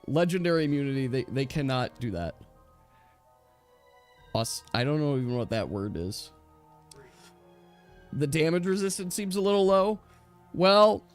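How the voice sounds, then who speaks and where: A man running a tabletop game, explaining rules casually in a chatty, halting way. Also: on the high side, soft background music. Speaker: game master.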